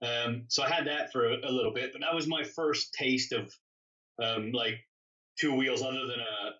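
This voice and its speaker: A man chats casually in a bright, forceful voice, often doubling back on his words. He sounds a little far from the microphone, and the recording is somewhat dull. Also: mid-range voice, steady tone, moderate pace. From born in England, grew up in Canada. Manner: rambling, self-correcting, self-deprecating. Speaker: interview guest, custom motorcycle builder. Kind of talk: casual conversational storytelling.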